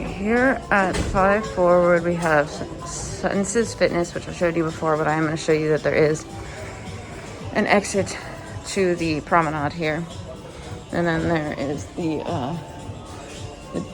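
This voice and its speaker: A woman walking through a cruise ship, filming and chatting as she points out each spot. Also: fairly low pitch. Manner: chatty guide pointing things out. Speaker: vlogger giving a ship tour. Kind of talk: walking tour narration.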